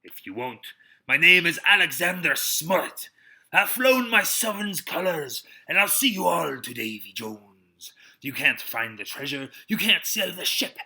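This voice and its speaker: A stern storybook sea captain, as a man acts him out, proud and commanding. The voice is very bright and forceful, with clipped authority. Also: fairly high pitch, big pitch swings, unhurried, pitched above the performer's own voice, exaggerated voice. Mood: defiant. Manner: clipped, authoritative orders and defiance.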